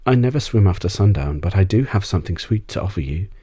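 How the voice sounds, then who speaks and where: A man voicing a courteous, quick-witted boy adventurer in a storybook, helpful and persuasive. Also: sits low, animated, dark tone, breathy edge, no room sound, studio quality, pitched above the performer's own voice. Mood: polite, persuasive. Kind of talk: storybook character voice.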